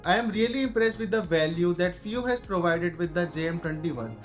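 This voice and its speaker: A man's voice: sits high, lively intonation, moderate pace, over music. A man with an Indian accent narrating a scripted audio gear review.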